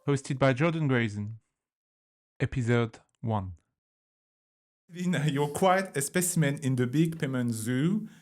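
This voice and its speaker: A man's voice: a little slow, pauses often, no room sound, studio quality, faint music behind. Playful French-accented podcast host, man. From France. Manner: playful, lively delivery with sudden pitch jumps. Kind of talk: scripted podcast intro and interview.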